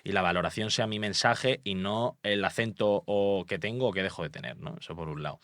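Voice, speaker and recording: A man's voice: fairly low pitch, brisk, fairly bright tone, no echo, clean studio sound. A young man from Málaga with a light Andalusian accent, speaking as an emphatic, confident guest in a podcast interview. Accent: light Andalusian Spanish. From Málaga, Spain. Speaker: public speaking expert guest.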